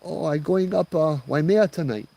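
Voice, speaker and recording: Elderly female spirit played by a man, Hawaiian Pidgin, raised pitch, calm familiar auntie manner, ghost-story character. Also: normal speed, creaky, no echo. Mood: calm, breezy. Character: old Hawaiian woman, ghostly goddess hitchhiker, calm and familiar.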